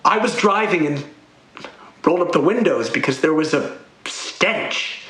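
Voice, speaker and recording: Casting: a man to play a shaken male musician giving horror testimony in a radio play; high-pitched, slow, repeating himself. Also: lively intonation, fairly bright tone. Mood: uneasy, haunted. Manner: halting, repeats phrases. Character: frightened touring musician recounting a horror under questioning. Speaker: actor in an audio play. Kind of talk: dramatic audio play monologue. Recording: roomy echo, faint background noise.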